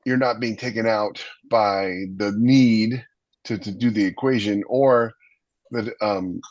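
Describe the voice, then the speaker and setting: A man co-hosting a podcast in casual talk, halting and repeating words as his thoughts build, on slightly lo-fi audio. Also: lowish voice, steady tone, darkish tone, no room sound. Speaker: podcast co-host. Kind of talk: casual panel discussion. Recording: dull, slightly lo-fi dry audio.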